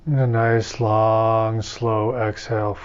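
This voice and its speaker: Male meditation teacher, calm and instructive, level monotone delivery, muffled lo-fi sound. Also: fairly low pitch, clean tone. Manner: slow, soothing, gently instructive. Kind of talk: guided meditation.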